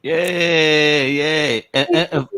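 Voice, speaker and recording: A man's voice: on the high side, very animated, very slow, creaky, pushed and bright, clear, resonant tone, no echo. A man hosting a casual interview podcast, playful and exclamatory, often repeating words for emphasis. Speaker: podcast host.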